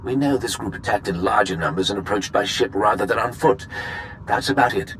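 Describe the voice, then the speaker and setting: An actor playing a smug, slick sci-fi corporate executive in a video game, with a pompous, glib, teasing manner. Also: male voice, on the low side, a little fast, a bit bright, a little hiss or hum, lightly acted, poor audio. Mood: glib, businesslike. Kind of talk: video game cutscene acting.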